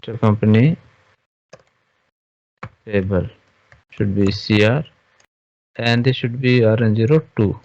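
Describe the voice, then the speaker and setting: A man teaching software step by step in an informal screen-share tutorial, halting and often checking understanding with questions. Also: on the low side, fairly even intonation, a little hiss or hum. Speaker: SAP software trainer. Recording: dull, lo-fi online class audio.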